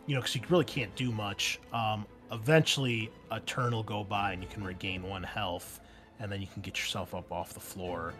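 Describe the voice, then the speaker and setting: A man with a fairly low voice runs a tabletop roleplaying session as game master. He improvises his narration in a halting, conversational manner. Faint music plays behind him. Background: background music.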